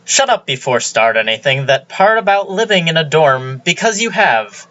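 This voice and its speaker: Bright, animated young vlogger reading aloud. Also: mid-range voice, moderate pace, lo-fi sound. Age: young adult. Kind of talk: deliberately reading a garbled transcript aloud.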